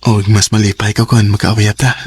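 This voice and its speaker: A man's voice: lowish voice, little pitch movement, fairly quick, a little mellow, slight background noise, lightly acted. An actor playing an earnest young man courting his sweetheart, speaking tenderly and pleadingly in a radio drama. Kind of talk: Cebuano radio drama acting. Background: background music.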